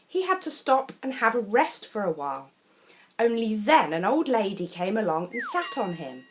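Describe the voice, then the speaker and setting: A woman tells a children's story expressively, with theatrical delivery and chatty asides to the listener. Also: mid-range voice. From England. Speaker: storyteller reading a children's picture book.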